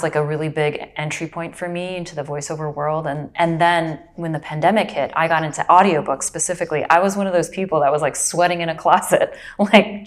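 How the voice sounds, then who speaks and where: Low-voiced woman, reflective storyteller. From Brazil. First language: Portuguese. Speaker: actor and audiobook narrator. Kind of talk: casual interview.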